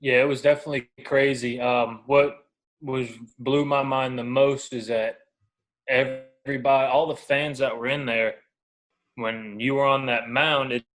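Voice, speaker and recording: A man's voice: medium pitch, flat delivery, unhurried, fairly bright tone, low-quality recording. A man in his twenties from the United States, chatting casually as an athlete being interviewed. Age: 20s. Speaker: baseball pitcher, podcast guest.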